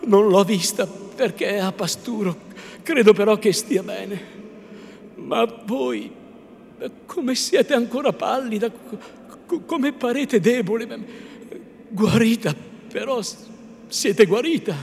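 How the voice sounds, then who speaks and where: A man voicing a gentle, devout young peasant woman in an audiobook drama, anguished and pleading in a trembling, halting way. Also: very high voice, gravelly, pitched above the performer's own voice, full character voice. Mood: anxious, tender. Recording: long hall reverb, faint noise.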